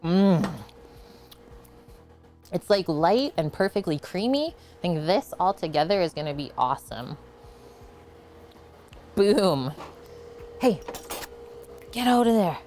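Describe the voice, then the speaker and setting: A woman's voice: fairly low pitch, very animated, slow pace, pauses often, darkish tone, faint music behind. A woman hosting a casual home cooking livestream, chatting as she cooks and answering viewer comments. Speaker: cooking show host. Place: home kitchen.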